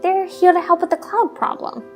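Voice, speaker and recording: Woman as young fantasy townswoman, high pitch, casual, friendly, eager to help, background music. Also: steady tone, moderate pace. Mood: friendly, helpful. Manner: casual, chatty, obliging. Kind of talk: fantasy audio drama acting.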